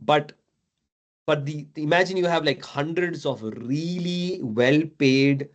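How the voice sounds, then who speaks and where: A man's voice: a little high, slow. Chatty man from Dubai mentoring. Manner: chatty, many fillers and tag questions. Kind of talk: casual video call chat.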